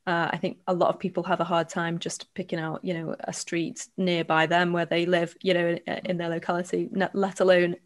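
A woman's voice: lowish voice, level tone, fairly quick, few pauses. A woman hosting a property podcast, asking questions with frequent restarts. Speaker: podcast host. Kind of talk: podcast interview.

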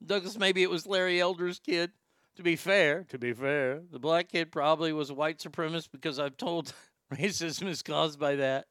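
A man hosting a call-in show, talking in an animated, joking and crude way. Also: a little high, moderate pace, clear, resonant tone, no room sound, clean studio sound. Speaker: show host. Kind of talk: casual call-in show talk.

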